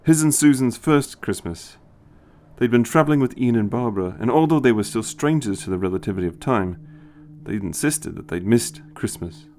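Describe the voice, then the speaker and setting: A man's voice: mid-range voice, lively intonation, normal speed, a little mellow. A man reading scripted story narration as the narrator.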